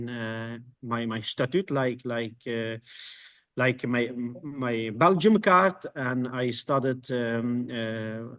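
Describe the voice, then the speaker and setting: Slow, hesitant Kurdish-born man. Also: mid-range voice, fairly bright tone. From Kurdistan, northern Iraq. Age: 20s. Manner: halting, many fillers and restarts. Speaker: guest. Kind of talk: casual interview talk.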